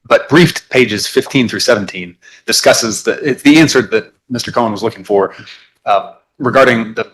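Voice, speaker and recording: Low male attorney over background noise. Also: fairly bright tone, low-quality recording. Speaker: lawyer addressing the court. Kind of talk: formal courtroom remarks. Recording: noticeable room noise.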